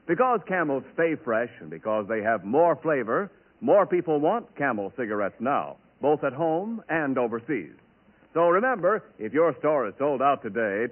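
Animated vintage radio pitchman. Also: narrow, tinny audio. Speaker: commercial announcer. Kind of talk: 1940s radio advertisement, scripted narration. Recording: band-limited old radio broadcast.

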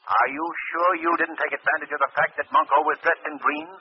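An actor playing a stern, taunting invisible crime fighter, deliberate and menacing, in old radio mystery style. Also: male voice, fairly high pitch, little pitch movement. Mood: accusing. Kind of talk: 1940s radio mystery acting. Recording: dull, noisy old radio recording.

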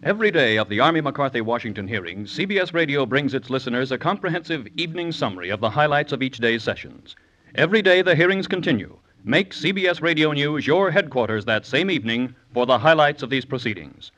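A man's voice: mid-range voice, lively intonation, fast, fairly bright tone. A man reading scripted 1950s radio announcements in a formal, polished way.

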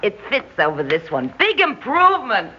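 A woman's voice: medium pitch, wildly swooping pitch, normal speed, heavy background noise. An actress playing a sardonic, man-hungry divorced diner woman in a 1960s radio drama, with slangy, blunt American delivery. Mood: brash, flirty. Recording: dull vintage radio recording.

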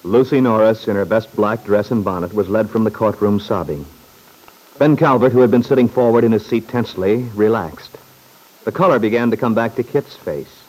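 An actor narrating an old-time radio courtroom serial as a grave, dramatic storyteller. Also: male voice, lowish voice, moderate pace, a little mellow, lightly acted, low-quality recording.

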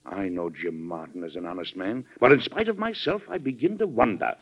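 An actor playing a troubled, plainspoken middle-aged American president in an old-time radio drama, speaking deliberately and with emphasis. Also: male voice, deep voice. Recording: dull vintage radio recording.

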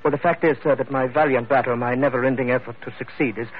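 Man acting a pompous, fast-talking merchant, old radio. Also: medium pitch, steady tone, lightly acted. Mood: wheedling, earnest. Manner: pompous, flowery formal speech. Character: aggrieved, pompous Chinese merchant, wheedling yet dignified. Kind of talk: 1940s radio drama acting. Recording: muffled vintage radio recording, faint music.

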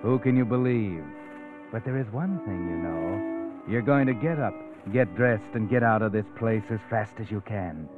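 A man's voice: on the low side, moderate pace, lightly acted. Male actor as insinuating suspense narrator, tinny old radio. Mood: insinuating, urging. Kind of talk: old-time radio drama narration, second person. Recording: tinny vintage radio recording. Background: dramatic music.

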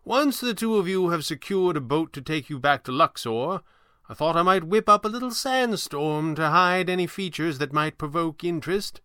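Storybook elderly sorcerer, male narrator performing: raised pitch, crisp, courtly showman, gently amused. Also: animated, dry recording, clean studio sound. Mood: gentle. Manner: courtly, theatrical, drawn-out. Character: gentle, amused old stage-magician sorcerer, courtly and theatrical.

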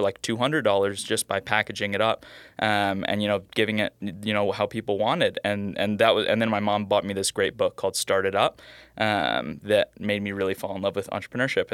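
A man's voice: fairly low pitch, fairly bright tone, clean tone, dry recording, clean studio sound. A young man from Hawaii, a startup co-founder, telling stories of his early ventures in an upbeat, anecdotal way, a little jet-lagged. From Oahu, Hawaii. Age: young adult. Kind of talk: casual interview answers.

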